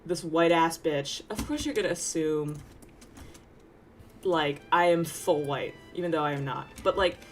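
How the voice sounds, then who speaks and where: A young woman with a low voice chats through a casual livestream, wandering off on tangents and catching herself mid-thought. Soft music plays quietly behind her. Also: measured pace. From Taiwan. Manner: rambling, chatty, self-interrupting with tangents and laughs. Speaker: VTuber streamer. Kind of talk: casual livestream chat while working. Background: faint background music.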